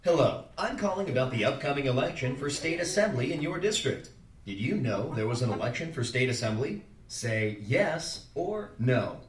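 A man's voice: medium pitch, very animated, moderate pace, close to an everyday voice. Man playing a pushy robocall pollster, swooping delivery. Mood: formulaic, polite. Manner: formulaic prompts swinging into exaggerated salesmanship. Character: automated political phone-poll caller, increasingly pushy, male. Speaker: actor in a live interactive radio play. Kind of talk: scripted robocall performed live on stage. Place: indoor theater stage, live performance. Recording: roomy, distant, noisy live theater recording.

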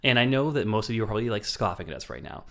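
A man in his thirties with a hoarse voice, co-hosting a podcast and joking casually, swearing freely. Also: fairly low pitch, lively intonation, rapid-fire, a bit bright. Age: 30s. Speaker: podcast co-host. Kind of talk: casual chat. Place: indoor studio, in San Francisco.

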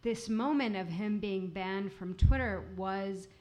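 A woman's voice: mid-range voice, slow, darkish tone. A woman interviewing a guest, hesitant and searching for her words. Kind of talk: live interview questions.

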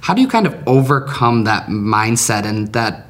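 Man, podcast host, friendly, affirming, mid-pitched voice. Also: sing-song, moderate pace. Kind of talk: scripted podcast interview hosting.

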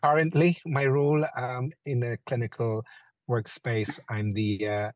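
A man's voice: mid-range voice, little pitch movement, unhurried, no echo, poor audio. A man in his forties from the Philippines, a guest on an online panel talk, speaking eagerly with filler phrases. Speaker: guest, chief nurse.

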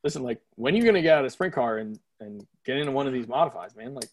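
A man hosts a casual podcast chat. He is from the United States and talks in a slangy, buddy-to-buddy way, with a medium-pitched voice. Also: lively intonation, very fast, dry recording. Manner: slangy, calls people dude and man. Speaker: podcast host.

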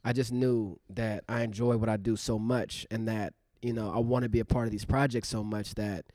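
A man's voice: on the low side, level tone, moderate pace, mellow, no room sound, studio quality. A man in his thirties, an actor co-hosting a podcast, talking in a loose, rambling way with many fillers. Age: 30s. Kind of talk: casual reflective chat.

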